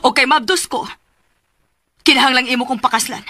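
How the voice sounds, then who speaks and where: Radio drama ingenue: young adult, uneasy and insistent, high, sharp-edged voice. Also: female voice, brisk. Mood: upset, demanding. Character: anxious, insistent young woman, cautious and demanding.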